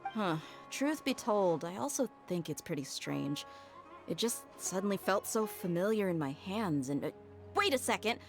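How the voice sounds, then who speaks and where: A woman's voice: mid-range voice, big pitch swings, normal speed. A woman voicing a confident, straight-talking young warrior woman in a video game, casual and blunt. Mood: puzzled. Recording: game music behind the voice.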